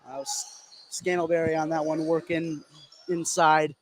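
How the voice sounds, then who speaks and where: A man's voice: a little high, little pitch movement, a little slow. An American man giving conversational analysis as a color commentator at a basketball game. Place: courtside in an indoor gym. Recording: clearly noisy gym sound. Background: gym noise.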